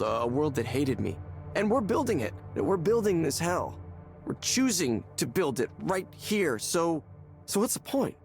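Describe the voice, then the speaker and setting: Hollow despairing man, creaky voice, hesitant, halting, naturalistic game drama, faint music behind. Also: mid-range voice. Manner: hesitant, halting, repeating words as he searches. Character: despairing, hollow man confiding in a counseling session. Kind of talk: naturalistic visual novel game acting.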